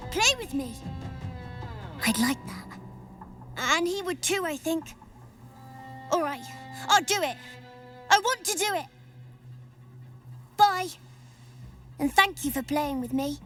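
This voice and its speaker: A high, very expressive child in a video game. The child is lonely and hurt, petulant and stubbornly defiant, with clear music underneath. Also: female voice, slow pace, pauses often, heavily acted. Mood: wistful, hopeful, then resolved.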